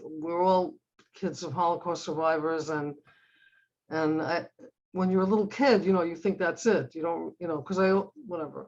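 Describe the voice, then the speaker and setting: An older woman from Cleveland whose first language was Hungarian, chatting in a relaxed, meandering way. She circles back and restarts her sentences as she recalls family history. The audio sounds dull and lo-fi. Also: lowish voice. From United States (Cleveland, Ohio). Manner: rambling, storytelling, many restarts. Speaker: drawing class participant. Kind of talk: casual chat.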